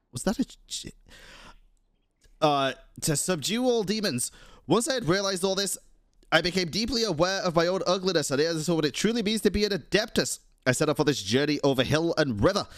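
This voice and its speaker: A man's voice: fairly high pitch, no room sound, studio quality. A young man streaming a game, reacting informally and reading dialogue aloud in casual commentary. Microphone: close streaming mic. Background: game music.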